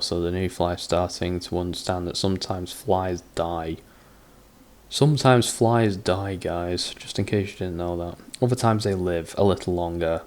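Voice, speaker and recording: A man's voice: low voice, darkish tone. A man doing a sarcastic reading of a book, breaking off into sweary, exasperated mockery. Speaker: comedic book reader. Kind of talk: sarcastic dramatic reading with commentary.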